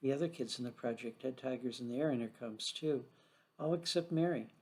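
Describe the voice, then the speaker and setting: A man's voice: mid-range voice, a little fast, a little mellow. A man reading a scripted children's story aloud as the storyteller.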